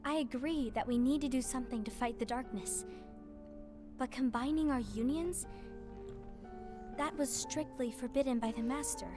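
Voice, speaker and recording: A cautious, principled young woman, voiced by an actress in a game cutscene, her voice high. Game music plays clearly behind her. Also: moderate pace, pauses often, close to an everyday voice. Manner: careful, principled. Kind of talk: video game cutscene acting. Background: game score music.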